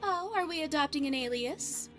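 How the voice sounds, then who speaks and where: A woman playing a poised, secretive spy devoted to her goddess in a video game, speaking in formal, measured phrases. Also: sits high, animated, over music. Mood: wry, courteous. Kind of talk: scripted video game character dialogue.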